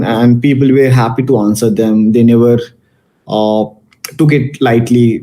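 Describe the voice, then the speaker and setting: A man's voice: medium pitch, warm tone. A young man from Malaysia giving a casual talk to students, with many fillers and restarts. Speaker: guest speaker.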